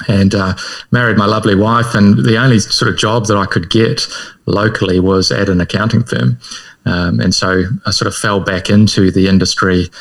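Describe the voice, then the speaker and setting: A middle-aged man with a New Zealand accent talks in a fairly low voice. He tells his story in a relaxed way, with a dry sense of humor about himself. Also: normal speed, gravelly. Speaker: guest. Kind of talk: casual podcast interview.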